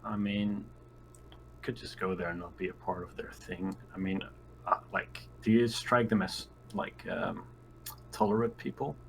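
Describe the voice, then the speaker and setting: Very deep-voiced man, halting and casual. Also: creaky, rough recording. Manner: halting, thinking aloud. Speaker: player. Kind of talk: casual tabletop roleplay chat. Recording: faint background noise, room ambience.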